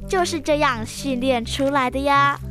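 A woman's voice: high-pitched, normal speed, over music, natural voice. A schoolgirl acting a dutiful, bossy big sister in a children's radio drama. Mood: reasoning.

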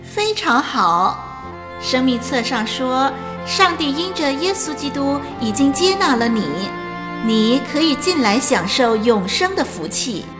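The angel at heaven's gate in a religious radio play, acted by a woman, pronouncing judgment slowly and didactically over clear music. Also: sits high, sing-song, big-room sound. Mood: gracious, welcoming. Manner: measured, authoritative, didactic. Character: solemn female angel judging souls at heaven's gate. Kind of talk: radio drama acting, preaching delivery. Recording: music bed under the voice.

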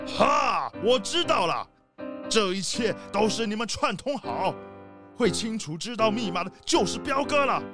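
A man's voice: high voice, big pitch swings, measured pace, music in the background, full character voice. A man playing a blustering leopard boss and father in a children's radio play, with an emphatic, dramatic delivery. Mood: accusing.

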